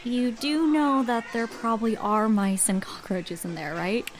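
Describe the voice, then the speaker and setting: An actress playing a sardonic diner waitress in an audio drama, teasing and skeptical but fond. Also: female voice, fairly high pitch, fairly even intonation, measured pace, lightly acted. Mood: wry, teasing.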